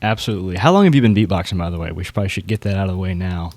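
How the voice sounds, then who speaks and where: A man's voice: deep voice, very fast, a little mellow, dry recording. A man hosting a podcast in a casual, chatty style. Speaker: podcast host.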